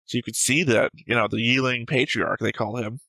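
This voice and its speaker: A man's voice: medium pitch, fairly even intonation, fairly quick, bright, forceful tone, dry recording, low-quality recording. A man co-hosting a casual discussion show, going through notes in a dry, analytical way. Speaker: reaction show co-host.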